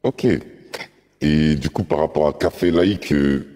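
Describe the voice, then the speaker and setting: A man's voice: extremely low pitch, a little slow, dark tone. A man interviewing a guest live, asking brief, polite questions. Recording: long reverb.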